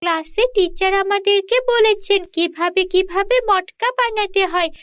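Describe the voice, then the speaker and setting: এক মহিলার কণ্ঠে চিকন গলার নাছোড়বান্দা ছোট্ট গ্রামের মেয়ে. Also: female voice, very high-pitched, moderate pace, fairly bright tone, no room sound, highs cut off, pitched above the performer's own voice. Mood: eager. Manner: coaxing, insistent. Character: pleading, eager, talkative young village girls.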